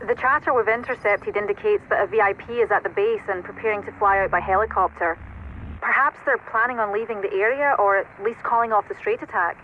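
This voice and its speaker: A woman's voice: mid-range voice, fast, narrow, tinny audio. A woman voicing an earnest, alert military intelligence operator in a video game, reporting formally through a radio filter. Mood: focused, informative. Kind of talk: video game cutscene voice acting. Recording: band-limited radio link, faint noise.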